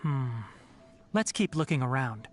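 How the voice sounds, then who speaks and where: A low, thoughtful young investigator from an anime game. The delivery is measured and analytical yet varied in melody, over faint music. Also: female voice, animated. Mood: pensive. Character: calm, analytical young forest ranger investigator. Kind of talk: anime video game voice acting.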